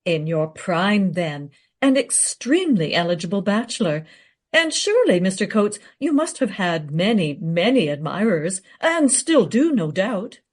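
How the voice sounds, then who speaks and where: A woman playing a brisk female documentary interviewer in a radio play, assertive and smoothly flattering. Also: mid-range voice. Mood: coaxing, flattering.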